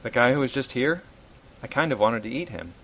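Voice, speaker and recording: A man's voice: mid-range voice, lively intonation, a little fast, a bit bright, slight background noise, low-quality recording. A man doing a hungry talking crow statue. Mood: offhand.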